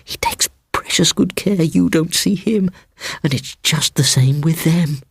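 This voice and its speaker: Secretive British schoolboy male reader acting, higher hushed husky voice, bitter and scared, ghost-story audiobook. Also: a little slow, no room sound, clean studio sound, full character voice. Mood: hushed, eerie. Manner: hushed, breathy whispering. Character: frightened, secretive, bitter schoolboy haunted by his aunt.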